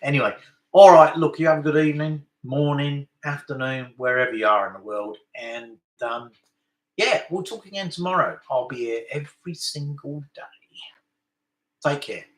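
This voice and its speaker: A man's voice: on the high side, normal speed. A man talking casually to camera in a daily vlog, rambling and self-mocking, often tossing in tag questions like "right?". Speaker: vlogger. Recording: roomy echo.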